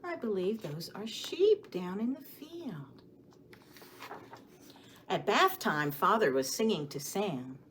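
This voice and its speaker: Animated woman hosting children's story time. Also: mid-range voice, slow pace, long pauses, a little hiss or hum. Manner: addresses young children with questions, gasps and animated emphasis. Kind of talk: children's story time with songs, rhymes and picture book reading.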